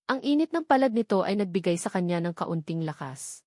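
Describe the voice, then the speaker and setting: A woman's voice: fairly low pitch, big pitch swings, fairly quick, a little mellow, no room sound. Woman narrating a dramatic story, dry sound.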